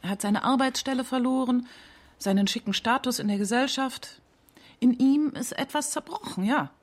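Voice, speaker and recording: A woman reading a translated interview as a radio documentary voice-over, in a conversational, measured way. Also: a little high, close to an everyday voice.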